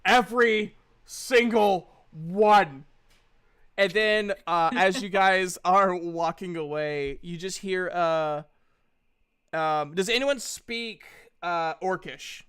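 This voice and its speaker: A man's voice: high-pitched, slow pace, fairly bright tone, clean tone. A man acting as game master, improvising narration for a tabletop roleplaying game.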